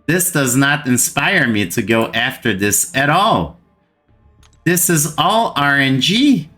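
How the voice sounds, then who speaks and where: A man's voice: medium pitch, expressive, takes it slowly, bright, forceful tone, soft background music. A man from Brazil with a Brazilian accent, hosting a gaming channel and ranting to camera in an exasperated way, repeating words for emphasis. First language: Portuguese. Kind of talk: frustrated gaming rant to camera.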